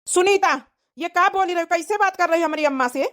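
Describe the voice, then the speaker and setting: A woman's voice: extremely high pitch, fast, a bit bright. A woman voicing a hot-tempered young village husband in a comedy cartoon, scolding and grumbling, with an Awadhi accent. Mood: angry scolding.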